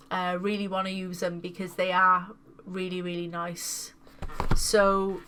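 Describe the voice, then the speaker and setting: A woman's voice: mid-range voice, bright, forceful tone, clean tone. A woman casually showing off her new video game pickups, sounding congested from a cold and repeating words with enthusiasm. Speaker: gaming video host.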